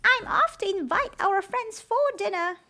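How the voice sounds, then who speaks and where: A woman voicing an excitable, exclaiming little girl witch in a sing-song storybook read-aloud. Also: very high voice, unhurried, creaky, soft background music, voice pushed up, exaggerated voice. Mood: eager, cheerful.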